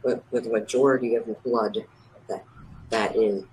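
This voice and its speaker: A man with a slightly low voice speaks in a casual debate. His delivery is hesitant and fragmented, and the recording carries some noise. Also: flat delivery, moderate pace, poor audio.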